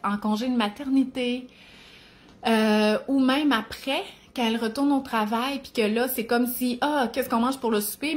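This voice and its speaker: Chatty Quebec French woman in her forties. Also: on the high side. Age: 40s. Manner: chatty, with frequent tag questions and little laughs. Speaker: mom nutrition author. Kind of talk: casual chat to camera.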